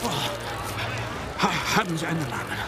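A man's voice: on the high side, expressive, normal speed, very noisy, natural voice. An actor playing a small, scheming Romanian aristocrat in his thirties, polite and theatrical, in a radio drama. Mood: grateful. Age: 30s.

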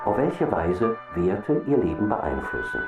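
A woman's voice: a bass voice, sing-song, a little slow, full character voice. A woman reading formal, scripted instructions as a self-help audiobook narrator. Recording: muffled, echoey, distant, music behind.

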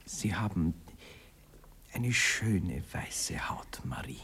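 Murmuring, eager young Viennese gentleman. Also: on the low side, whispered, heavily acted. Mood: coaxing, aroused. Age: young adult. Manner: halting, coaxing, breathy murmuring. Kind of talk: 1960s radio play acting.

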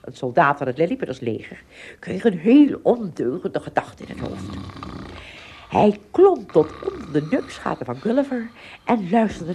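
A woman narrating a scripted children's story expressively, with dramatic pauses and little asides. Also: on the low side, dark tone, a little hiss or hum.

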